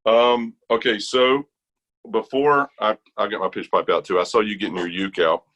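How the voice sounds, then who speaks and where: Low-voiced American man, informal and rambling. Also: fairly even intonation, normal speed, fairly bright tone. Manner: rambling, informal, thinks aloud in broken phrases. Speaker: interview guest, bass gospel quartet singer. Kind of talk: casual interview chat.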